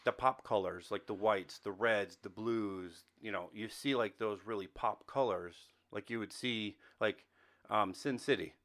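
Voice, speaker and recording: A man's voice: lowish voice, measured pace, a bit bright. Casual man co-hosting a podcast. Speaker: podcast co-host. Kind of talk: casual film and game discussion.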